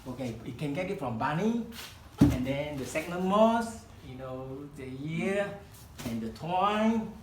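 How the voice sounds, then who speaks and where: Slow, halting man with a Chinese accent. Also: fairly high pitch, big pitch swings, reverberant, very noisy. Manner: halting, searching for words, many restarts. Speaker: kokedama workshop founder demonstrating. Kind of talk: live hands-on craft demonstration. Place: room at a home demonstration event.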